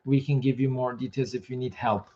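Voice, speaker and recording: Hoarse man answering immigration questions. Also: mid-range voice, steady tone, dark tone, muffled recording. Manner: quick, matter-of-fact answers, reads comments aloud. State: losing his voice. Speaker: immigration consultant host. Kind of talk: live Q&A reading viewer questions.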